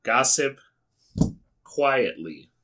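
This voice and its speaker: An American man hosting a comedic read-aloud, dropping wry, sweary, deadpan asides in a casual way. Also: mid-range voice, very slow. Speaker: YouTube host. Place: small indoor studio with foam panels.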